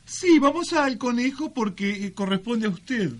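A man voicing a fussy, coy doctor with an Argentine accent who gives teasing, drawn-out horoscope advice in a comic radio sketch. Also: extremely high pitch, animated, voice pushed up, full character voice. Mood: coy, advising.

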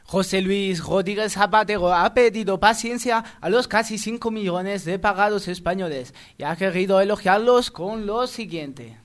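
A very high-voiced, excitable Frenchman reads mock news for a radio comedy sketch, played by a male comedian. His delivery parodies a formal bulletin. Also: moderate pace, few pauses, lightly acted. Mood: mock serious.

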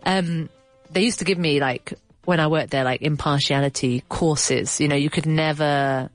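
A chatty woman hosting a casual English-learning chat, her voice on the lower side and a little nasal from a runny nose. She explains words with quick asides and laughs. The sound is dry and close, with faint music behind. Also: no room sound. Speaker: podcast host teaching English.

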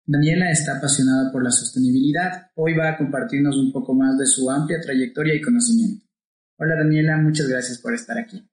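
A man's voice: mid-range voice, a little fast, darkish tone. A man, a podcast host introducing a guest and asking questions in a friendly, upbeat way. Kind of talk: interview questions. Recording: roomy echo.

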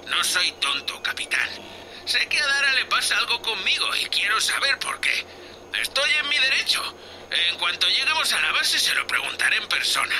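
A man's voice: high voice, very animated, moderate pace, bright, forceful tone, faint music behind, exaggerated voice, rough recording. A man playing a tipsy, cheeky young spaceship co-pilot in an audio drama, giggly and rambling. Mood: drunken grievance. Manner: slurred, giggly, rambling drunken talk. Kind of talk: science fiction audio drama acting.

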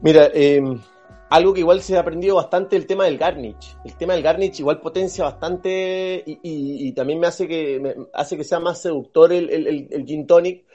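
A man's voice: on the high side, steady tone, normal speed, warm tone. A man with a Chilean accent chatting casually as a podcast co-host, often repeating words as he searches for the next. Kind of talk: casual chat. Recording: dull lo-fi audio, music behind. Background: background music.

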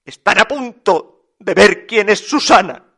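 An indignant pet dog that talks, from a comic storybook , voiced by a man. It protests in a high, bright, very animated voice. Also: unhurried, vocal fry, exaggerated voice.

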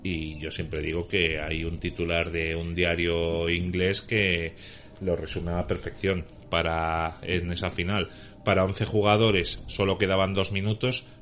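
Very deep-voiced man reeling off facts. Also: little pitch movement, unhurried, a bit bright, slight background noise. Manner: thorough, reels off dates and scores. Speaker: guest playing contestant. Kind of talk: detailed football trivia answers.

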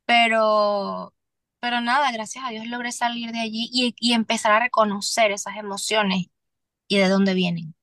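A young Venezuelan woman, a singer-songwriter, speaking candidly as a podcast guest, earnest and halting with restarts. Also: on the high side, steady tone, fairly bright tone, dry recording. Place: Miami.

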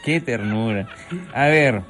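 A man's voice: mid-range voice, slow, loud music behind the voice. A man hosting a comic radio segment, playfully teasing callers and reading jokes aloud. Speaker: radio host.

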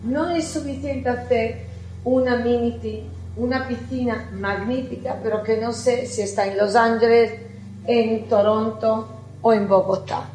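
A middle-aged woman with an Italian accent, giving a chatty, lively conference talk as an architect and putting questions to the audience. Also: on the high side, a little slow, a little hiss or hum. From Italy. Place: lecture hall. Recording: echoey hall with faint music.

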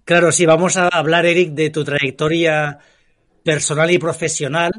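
A male co-host with a fairly high voice asks the guest friendly questions. He keeps tagging his questions with a quick check to the listener. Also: flat delivery, a bit bright. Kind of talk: interview questions.

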